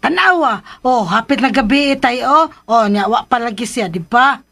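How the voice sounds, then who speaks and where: A woman playing a childlike, gleeful, simple-minded mother in a radio drama, blunt and excitable, with a Cebuano accent. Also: fairly high pitch, moderate pace, creaky, heavily acted. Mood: stubborn, sure. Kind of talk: Philippine radio drama acting.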